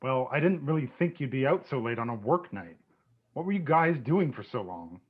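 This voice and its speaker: A man's voice: medium pitch, expressive, moderate pace, husky. A man playing a sarcastic, crude, needling boyfriend in a screenplay table read, with a mocking delivery. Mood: sulky. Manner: mocking, snide. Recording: thin, phone-like narrow-band sound.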